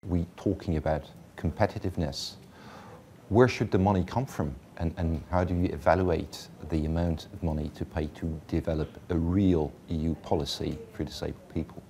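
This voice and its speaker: A man's voice: sits low, steady tone, moderate pace, dark tone, a little hiss or hum. A man interviewing a guest, asking probing questions with some hesitant fillers.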